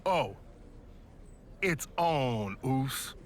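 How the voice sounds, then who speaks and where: A man's voice: mid-range voice, animated, extremely slow, a little hiss or hum. A man playing an earnest American wrestler pleading with his brother in slangy video game dialogue. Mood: playful defiance.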